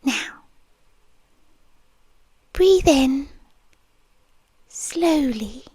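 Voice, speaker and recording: A woman's voice: high voice, animated, mellow, dry recording, heavily acted. A woman with a British accent voicing an obsessive, possessive young nurse in a whispered ASMR roleplay, speaking in an intimate, teasing murmur. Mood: whispery possessive. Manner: slow, intimate, teasing purr with frequent whispers.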